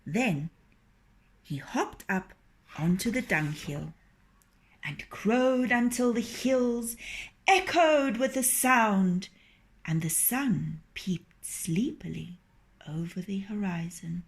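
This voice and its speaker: Woman storyteller, children's tale, slow, lively lilting voice, expressive. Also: mid-range voice.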